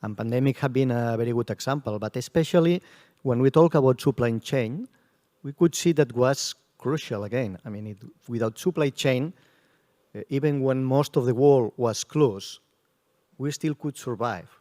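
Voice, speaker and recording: A man with a mellow, dark voice answers on a conference panel. He speaks in halting stretches, pausing on "uh" as he searches for words, and his phrasing sounds non-native. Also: medium pitch. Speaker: security panelist.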